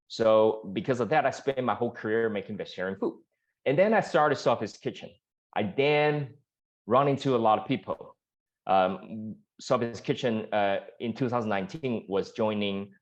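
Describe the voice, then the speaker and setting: Earnest man on lo-fi audio. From Taiwan. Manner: earnest, many restarts and fillers, rises in pitch when animated. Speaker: startup founder pitching. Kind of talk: pitch Q&A answers. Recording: dull lo-fi sound.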